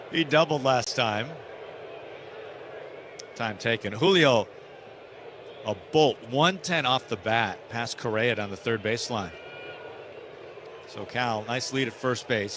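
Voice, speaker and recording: A man's voice: mid-range voice, slow, long pauses, noisy background. A man with an American accent calling live baseball play-by-play on radio in short, clipped phrases.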